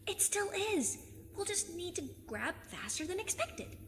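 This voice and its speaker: A woman's voice: extremely high pitch, very animated, measured pace, a little hiss or hum, exaggerated voice. A woman playing a plucky, overconfident fifteen-year-old girl sleuth with a cheeky, mock-formal manner in an audio drama. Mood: cheeky, scheming. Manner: eager, cheeky, mock-formal, self-assured.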